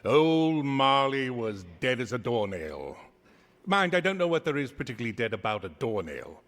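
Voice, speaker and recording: A man narrating a story theatrically in a wry, formal, deliberate way, in an echoey theater hall. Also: medium pitch, very animated, fairly bright tone. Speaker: stage narrator and presenter. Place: theater stage.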